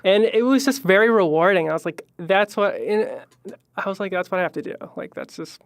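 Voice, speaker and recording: A young man from Chicago with a high voice, rambling through his story with lots of fillers and little trailing-off phrases. His voice is higher than most men's and has a gravelly fry in it. He is recorded close up in a dry room with no echo. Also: brisk. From Chicago, Illinois. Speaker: musician guest. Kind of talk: casual podcast interview.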